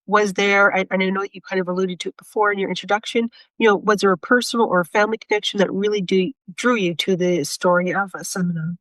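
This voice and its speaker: A woman's voice: mid-range voice, steady tone, quick pace, hardly any pauses, a bit bright, no echo, rough recording. A woman with a mid-range voice hosts a podcast interview. She asks questions in a supportive way, with some hesitant restarts. The recording is dry and close. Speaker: podcast host.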